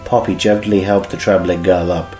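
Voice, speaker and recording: A low male voice narrates a dramatic story in scripted prose. The recording is roomy, with music playing softly behind him. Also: flat delivery, moderate pace.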